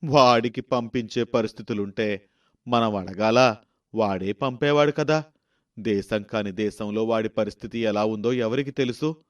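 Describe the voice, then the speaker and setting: A man's voice: on the low side, unhurried, voice pushed down, lightly acted. Man playing an aggrieved old villager. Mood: pleading. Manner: complaining, pleading. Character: aggrieved, poor elderly village husband. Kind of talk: storybook voice acting.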